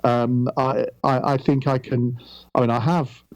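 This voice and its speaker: A middle-aged Englishman with a calm voice, talking reflectively as an interview guest, often pausing on ums and restarting. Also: medium pitch, dry recording, lo-fi sound. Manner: measured, with frequent ums and restarts. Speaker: guest, radio broadcaster.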